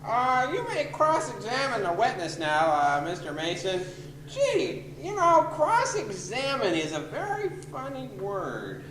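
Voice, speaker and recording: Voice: medium pitch, wildly swooping pitch, a little slow. An actor playing a rambling, easily distracted judge who speaks hesitantly in a radio comedy. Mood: musing. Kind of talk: old time radio comedy acting. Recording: echoey, noisy amateur recording.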